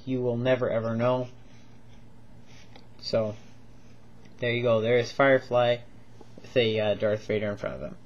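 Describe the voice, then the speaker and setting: Man, stuffed up with a cold, rambling toy review, lots of ums, slightly dull lo-fi audio. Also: medium pitch. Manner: rambling, gushing, many ums and repeats. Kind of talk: casual toy review vlog.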